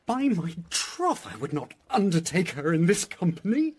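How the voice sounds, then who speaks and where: An actor playing a foolish, vain and timid knight in a Shakespearean radio comedy, hesitant, fussy and plaintive. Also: male voice, high-pitched, sing-song, normal speed, breathy edge, heavily acted. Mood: baffled, plaintive.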